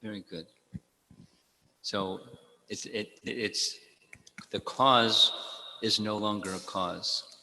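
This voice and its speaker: Slow, deep-voiced man teaching in a hall. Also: steady tone, bright, forceful tone. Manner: slow, measured, repeats key phrases; quotes Sanskrit verses. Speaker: spiritual teacher. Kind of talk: devotional talk to a congregation. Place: temple hall. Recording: long reverb in a hall.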